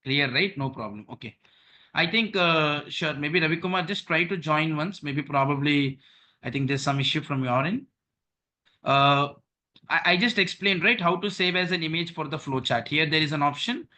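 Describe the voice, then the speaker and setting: Male trainer, bright higher voice, steady step-by-step instructions over a video call. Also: normal speed. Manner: repeats "okay" and "right", walks through steps on screen. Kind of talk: online training session.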